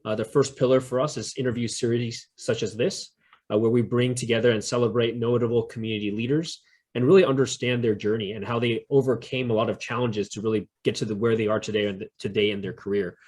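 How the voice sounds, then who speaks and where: A man's voice: mid-range voice, steady tone, quick pace, a little mellow. A man hosting a community interview, talking with many fillers in a friendly, curious way. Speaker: interview host. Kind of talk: community event introduction and interview.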